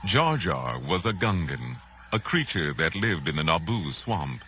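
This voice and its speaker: Deep-voiced man narrating a storybook. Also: sing-song, bright, forceful tone, a little hiss or hum. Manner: solemn, deliberate, authoritative. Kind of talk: scripted dramatic storybook narration. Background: music.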